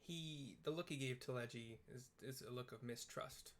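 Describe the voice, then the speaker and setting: Guy narrating a game playthrough, relaxed, mid-pitched, fixes his own words a lot. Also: normal speed. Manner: relaxed, describing the scene, self-correcting. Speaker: let's play narrator. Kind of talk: casual commentary on a game.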